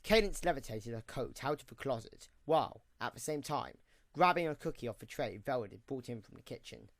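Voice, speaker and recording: A man's voice: mid-range voice, expressive, fairly quick, dry recording, clean studio sound. A man narrating a scripted story for an audio drama.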